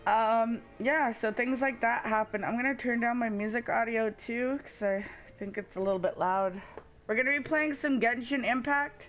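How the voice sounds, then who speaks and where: A woman's voice: a little high, normal speed, fairly bright tone, soft background music. A woman hosting a casual game livestream, chatting informally and speaking straight to her viewers. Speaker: game streamer, host. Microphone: headset.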